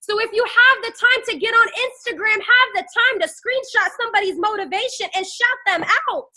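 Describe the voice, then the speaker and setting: A young woman in her twenties with a very high, bright voice preaches a fiery motivational pep talk. She talks into a phone and keeps rallying her listeners with call-and-response. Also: normal speed. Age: 20s. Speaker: network marketing team leader.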